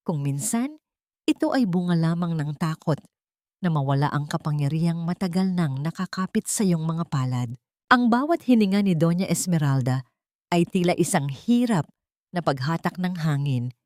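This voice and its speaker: Low-voiced Filipino woman narrating drama. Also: darkish tone, no echo, clean studio sound. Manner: solemn, theatrical. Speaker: story narrator.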